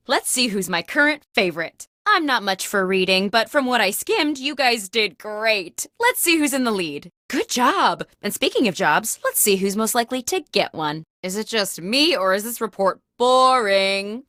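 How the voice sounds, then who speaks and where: A woman's voice: a little high, no room sound, studio quality. A woman playing a peppy, quirky office boss who hosts a comedic video game with mock-corporate patter. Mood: encouraging. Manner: animated, mock-corporate comic delivery. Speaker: video game host character. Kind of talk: scripted comedic game show hosting.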